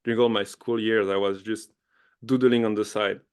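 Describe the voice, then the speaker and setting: A man's voice: on the low side, flat delivery, moderate pace, clean tone. A man in his thirties with a French accent, chatting eagerly as a podcast guest, often laughing and restarting his sentences. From France. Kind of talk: casual interview chat.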